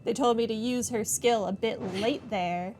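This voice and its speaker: A woman's voice: on the high side, normal speed, darkish tone, slight background noise. A woman giving casual playthrough commentary as a game streamer, with wry, offhand quips.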